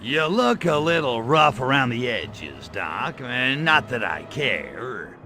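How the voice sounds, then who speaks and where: A wisecracking alien invader for a video game. The voice is bright and swings widely in pitch, full of impatient, sarcastic swagger. Music plays underneath. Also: male voice, sing-song, slow pace, heavily acted. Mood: mocking swagger. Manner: sarcastic, swaggering, slangy delivery. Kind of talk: video game cutscene voice acting.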